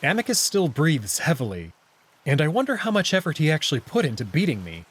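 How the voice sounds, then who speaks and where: A man's voice: on the high side, huge pitch swings, a little fast, husky. A man reading scripted first-person story narration from a visual novel, with acted dialogue. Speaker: narrator and voice reader.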